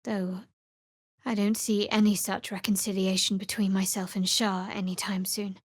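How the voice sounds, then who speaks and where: A woman performs a young female cleric of a dark goddess for a fantasy video game. She is wry and secretive, speaking in a measured, guarded way. The sound is dry and close, with no room around it. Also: mid-range voice, little pitch movement, dry recording, lightly acted. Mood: somber, confiding.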